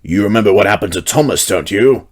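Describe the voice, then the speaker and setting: A man's voice: fairly low pitch, lively intonation, quick pace. Man voicing the Fat Controller, firm railway boss. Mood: firm, apologetic. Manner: firm, explanatory. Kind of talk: storybook character voice.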